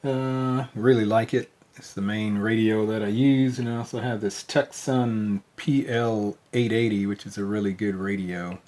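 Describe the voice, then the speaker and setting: A man hosting a hobby video in a casual, unscripted way, talking hesitantly with frequent pauses. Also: lowish voice, steady tone, a little mellow. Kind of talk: casual unscripted show-and-tell. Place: at home indoors, later outside in a yard. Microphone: handheld phone.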